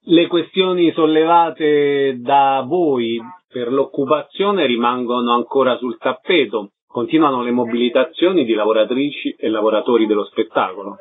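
A man's voice: mid-range voice, moderate pace, hardly any pauses, husky. A man hosting a radio interview, putting prepared questions to guests. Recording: dull lo-fi radio sound.

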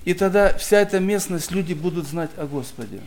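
A man preaching and telling a personal story in short phrases, pausing after each so it can be interpreted. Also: high voice, expressive, darkish tone. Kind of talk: sermon testimony, interpreted line by line.

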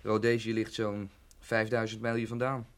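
An actor playing a keen, homesick young student son in a radio drama, talking earnestly. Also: male voice, on the low side, level tone, moderate pace, close to an everyday voice. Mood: cheerfully contradicting. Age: young adult.

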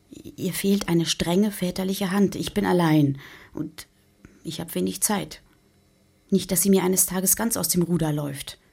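Radio drama mother, middle-aged, embittered and reproachful, gravelly low voice. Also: a little mellow, full character voice. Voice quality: husky, gravelly. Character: stern, embittered single mother of a grown daughter. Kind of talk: German radio drama monologue.

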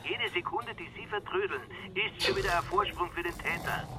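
An urgent, bossy police superior in a radio play, heard through a telephone. His voice is fairly high and commanding, with music clearly audible behind it. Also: steady tone, normal speed. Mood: impatient. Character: impatient, bossy senior police official on the telephone. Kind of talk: radio drama acting.

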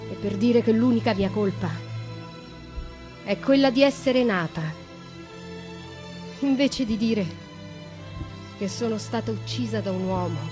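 An actress playing a wronged young noblewoman condemned to die, anguished and defiant, in a theatrical stage monologue with stressed phrases and pauses. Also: medium pitch, lightly acted. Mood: bitter, grave. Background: music.

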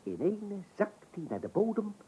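An actor playing an excitable, boastful angler in a comic radio play, telling tales dramatically in a husky voice. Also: male voice, high voice, normal speed, exaggerated voice. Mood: dramatic, impatient. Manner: dramatic storytelling, easily irritated by interruption. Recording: dull old radio recording.

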